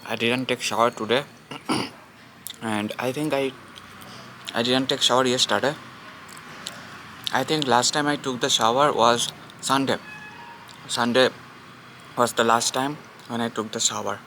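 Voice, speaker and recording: A man's voice: mid-range voice, fairly even intonation, measured pace, long pauses, bright, forceful tone, slight background noise, low-quality recording. Chatty Bengali man vlogging outdoors. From India. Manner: rambling, repeats himself, chatty asides to viewers. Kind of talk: casual vlog while eating outdoors. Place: public place outdoors.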